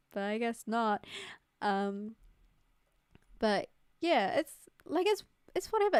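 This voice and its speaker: A woman's voice: on the high side, darkish tone, smooth, dry recording, clean studio sound. A young woman from New Zealand with a New Zealand accent, chatting casually with her viewers on a livestream, full of "like" and "um". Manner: chatty, many "like" and "um" fillers, laughs easily. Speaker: streamer. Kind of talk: casual livestream chat reading viewer messages. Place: home streaming setup in Queensland. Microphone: studio mic close up.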